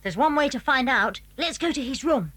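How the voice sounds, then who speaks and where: A woman's voice: high-pitched, lively intonation, normal speed, a bit bright, husky, dry recording, heavily acted. A British woman playing an eager, cautious schoolboy in a children's audio drama. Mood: conspiratorial, eager. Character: eager, cautious schoolboy who leads his friends.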